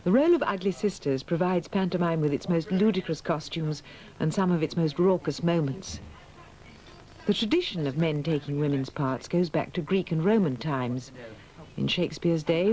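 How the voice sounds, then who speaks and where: A man narrating a documentary in a measured, formal scripted style. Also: on the high side, a little mellow, poor audio.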